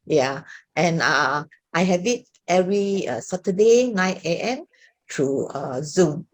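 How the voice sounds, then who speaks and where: An older woman with a Malaysian English accent speaks on a video call in a low, unhurried voice. She hesitates and restarts often and fills pauses with "uh" as she explains. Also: lively intonation. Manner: hesitant, many fillers and restarts. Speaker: praise dance teacher. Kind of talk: casual video call chat.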